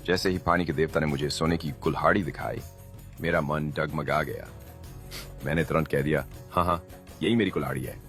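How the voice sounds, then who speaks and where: A man voicing a greedy, scheming villain who is cowardly underneath, in an animated moral story. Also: rumbling low, normal speed, soft background music. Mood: sheepish.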